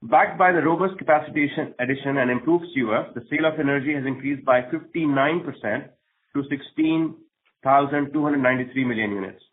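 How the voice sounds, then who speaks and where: A man delivers a scripted corporate results update in a formal, read-out manner. His mid-pitched voice comes through a narrow, tinny phone line. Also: fast talker. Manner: formal, reading prepared remarks. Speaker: company executive presenting quarterly results. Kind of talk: scripted earnings call presentation. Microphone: conference call phone line.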